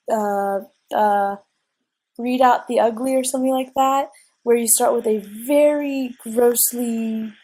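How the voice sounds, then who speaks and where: A woman's voice: a little high, warm tone. A young woman getting over a cold, sniffly, rambling casually with many ums as she narrates her gameplay at home. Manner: rambling, chatty, frequent ums and restarts. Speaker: let's play gamer. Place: at home at a desk.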